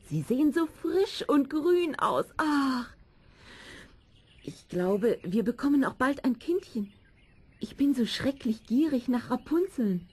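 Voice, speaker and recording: A woman playing an excitable, craving wife in a fairy-tale audio play, effusive and dramatic with a breathy delivery. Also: sits high, heavily acted.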